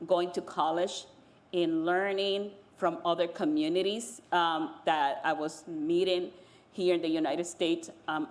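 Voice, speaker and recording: A woman from the Dominican Republic, a native Spanish speaker, telling her own story on a panel in a measured, pausing way. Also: fairly low pitch. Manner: measured, pausing, searching for words.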